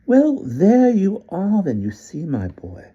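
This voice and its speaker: A man voicing a short, slight, sinister old man who speaks softly with a faint British accent. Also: sits high, huge pitch swings, takes it slowly, voice pushed up, full character voice. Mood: coaxing menace. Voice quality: soft, smooth and coaxing. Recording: dull lo-fi recording.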